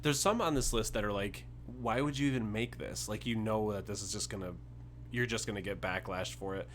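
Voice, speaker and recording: A man in his thirties co-hosts a relaxed gaming podcast. His voice sits on the low side, and he laughs easily and teases his co-host. A faint hum sits under the recording. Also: a little fast. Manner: easygoing, laughs often, teasing. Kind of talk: casual gaming chat.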